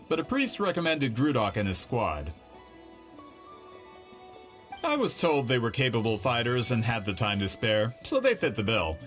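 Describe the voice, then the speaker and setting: An actor playing a polite, eager book publisher in a game, courteous and persuasive. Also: male voice, medium pitch, a bit bright. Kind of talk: game cutscene voice acting.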